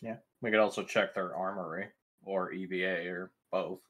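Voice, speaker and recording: A man's voice: low-pitched, steady tone, normal speed, dull audio. A man playing a tabletop game, bantering casually in a sweary, joking way.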